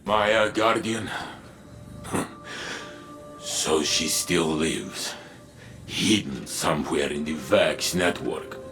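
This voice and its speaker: Male robot warrior for a game: deep, creaky, slow and declamatory, philosophical and sure of himself. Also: a bit bright, soft background music, exaggerated voice. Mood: reflective. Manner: grand, declamatory, formal phrasing without contractions. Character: noble male robot warrior, philosophical, proudly self-assured. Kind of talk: scripted game dialogue.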